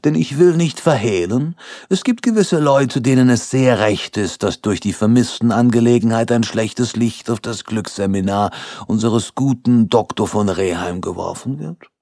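A man's voice: mid-range voice, moderate pace, dark tone, no echo, clean studio sound, pitched above the performer's own voice, close to an everyday voice. A man voicing a haughty aristocratic princess who speaks in elaborate, formal sentences. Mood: stately. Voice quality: elaborate formal phrasing, pompous.

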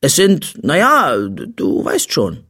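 A know-it-all big brother, still a little boy, voiced by a man, in a children's storybook. He is grumpy and indignant, his pitch raised and jumping around as he lectures self-importantly. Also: huge pitch swings, takes it slowly, bright, forceful tone, breathy edge, dry recording, studio quality, exaggerated voice. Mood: explaining. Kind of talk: animated children's audiobook character voice.

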